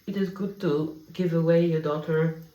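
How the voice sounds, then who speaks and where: A woman in her thirties whose first language is Polish, speaking in a soft, candid, confiding way in a personal diary vlog at home. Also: sits low, steady tone, moderate pace, reverberant, far from the mic, highs cut off. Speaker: vlogger. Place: home, at night.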